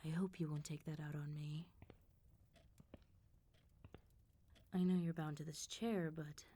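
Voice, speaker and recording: Girl doing a vampire mafia boss lady for asmr, low n velvety soft dark voice, polite but threatening, kinda amused. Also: fairly even intonation, husky. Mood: silky, polite menace. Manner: polite, teasing, threatening, unhurried control. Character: suave, menacing female vampire mob boss, coolly amused. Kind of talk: ASMR roleplay acting.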